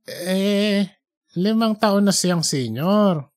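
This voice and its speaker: A performer voicing a devout, earnest man in his late eighties for an audiobook story, speaking slowly and deliberately. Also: medium pitch, lively intonation, darkish tone, dry recording, studio quality. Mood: earnest, matter-of-fact. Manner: slow, deliberate, hesitant openers. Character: pious, earnest 87-year-old Filipino grandfatherly man. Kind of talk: fictional audiobook character dialogue.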